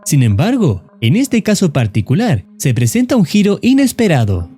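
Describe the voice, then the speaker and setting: A man narrates a horror tale in a steady, solemn voice, leaning on ominous words. His tone is mellow and dark, and his pitch rises and falls in a lively way. Faint music plays under his voice-over. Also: normal speed, breathy edge. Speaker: YouTube horror channel narrator. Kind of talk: scripted narration of a horror story.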